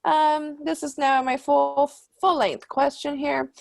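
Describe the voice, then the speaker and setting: A woman's voice: high-pitched, little pitch movement, vocal fry, darkish tone, heavily acted. A woman playing a posh British interviewer, genteel, fussy and hesitant, in an improvised comedy sketch. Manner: hesitant, polite, drawn-out affected gentility.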